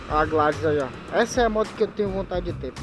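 A man talks in a relaxed, slangy way while he rides a motorcycle outdoors. The sound comes from a helmet-mounted camera mic. Music plays clearly underneath his voice. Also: a little high, a little fast. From Manaus, Brazil. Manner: chatty, laid-back slang, frequent laughs. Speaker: motovlogger. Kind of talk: casual motorcycle vlog commentary. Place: riding a motorcycle on city streets outdoors.

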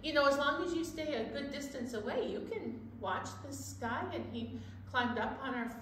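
A middle-aged woman with a fairly high voice hosts a children's storytime, chatting to young viewers. She is recorded from a distance in a very echoey room. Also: normal speed. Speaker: library storytime host. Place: indoor storytime set at a library. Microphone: distant camera microphone. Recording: roomy, distant mic, steady background noise.

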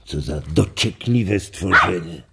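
An actor playing a playful, teasing old grandfather in a children's audio drama, telling stories with theatrical, drawn-out emphasis. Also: low voice, animated, vocal fry, bright, forceful tone, slight background noise, full character voice. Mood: teasing, dramatic.

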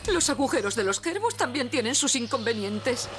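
Animated little gnome lady. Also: on the high side, moderate pace, noisy background. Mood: lively, knowing. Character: cheerful, knowing female African gnome with magic. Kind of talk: dubbed cartoon acting.

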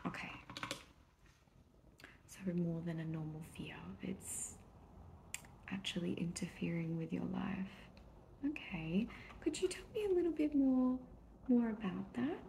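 Slow, hushed woman in soft ASMR roleplay. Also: mid-range voice, gravelly. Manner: hushed, often slipping into whisper; gentle, reassuring questioning. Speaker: therapist in a roleplay. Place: small indoor room at a desk. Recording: soft background noise, keyboard clicks. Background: computer keyboard typing.

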